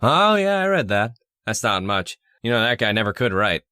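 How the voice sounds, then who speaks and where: A man's voice: on the low side, very animated, moderate pace, sharp tone, smooth, no echo, studio quality. An actor playing a touchy, volatile man in an old-time radio drama, insistent and casual in his speech. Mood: needling, defensive. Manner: casual, insistent, swinging between offhand and heated.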